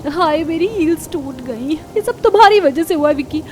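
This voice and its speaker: A woman's voice: high voice, lively intonation, moderate pace, darkish tone, slight background noise. A woman doing a bratty, spoiled young wife. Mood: whiny. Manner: bratty whiny nagging.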